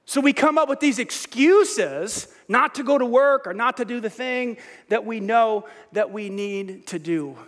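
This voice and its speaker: High-voiced pastor preaching in a reverberant hall. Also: male voice, measured pace. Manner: lively, self-mocking, restarts often. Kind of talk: sermon.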